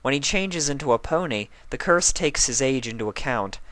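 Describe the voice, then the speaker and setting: A man voicing a wise, regal pony princess explaining things in a measured way. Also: mid-range voice, expressive, a bit bright, dry recording, pitched above the performer's own voice, natural voice.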